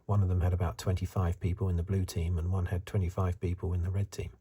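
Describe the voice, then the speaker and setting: A man giving soft-spoken, half-whispered ASMR commentary in a husky, breathy voice, musing and rambling as he goes. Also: low-pitched, little pitch movement, quick pace. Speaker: ASMR reviewer.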